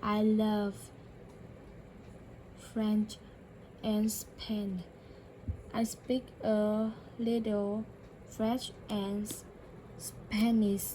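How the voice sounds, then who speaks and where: A woman practising English by repeating a dialogue line by line, slowly and carefully, with occasional self-corrections. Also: mid-range voice, flat delivery, dark tone, slight background noise, low-quality recording. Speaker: English learner.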